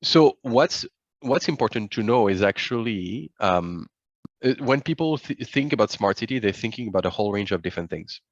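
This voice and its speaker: A man presenting a report over a webinar, speaking haltingly with many fillers and restarts, on dull video call audio. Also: on the low side, lively intonation, no echo.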